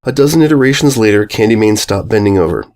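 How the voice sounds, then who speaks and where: A man narrating a scripted story in an expressive, storytelling style. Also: fairly low pitch, quick pace. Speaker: fan fiction narrator.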